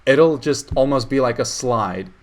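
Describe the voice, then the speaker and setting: A man's voice: mid-range voice, measured pace. A young man whose first language is Russian, chatting casually as host, full of fillers and restarts. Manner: hesitant, many fillers and restarts. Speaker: channel host. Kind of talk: casual video call chat.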